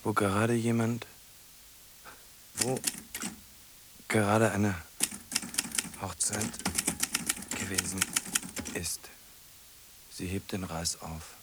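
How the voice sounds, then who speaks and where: An actor in a radio play playing a lonely, brooding man who mutters haltingly to himself in fragments. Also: fairly low pitch, little pitch movement, heavy background noise. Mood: absorbed muttering. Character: lonely, brooding man muddling through translating a pop song.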